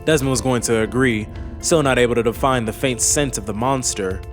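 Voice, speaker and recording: A man's voice: mid-range voice, brisk. A man reading scripted fantasy narration as an audiobook narrator. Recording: faint music under the voice.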